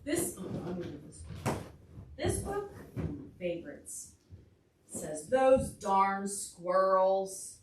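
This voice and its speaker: A woman reading aloud to young children as a storytime librarian, pausing to put questions to them. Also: mid-range voice, sing-song. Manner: asks the children questions along the way. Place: indoor library storytime room. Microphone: distant room microphone. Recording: echoey room, distant mic, faint noise.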